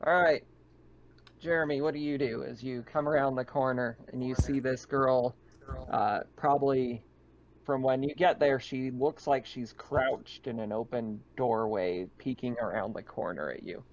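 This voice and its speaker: A man's voice: mid-range voice, steady tone, unhurried. A man acting as game master, talking casually as he runs a tabletop roleplaying game. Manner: casual, conversational. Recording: dull, lo-fi mic with faint noise.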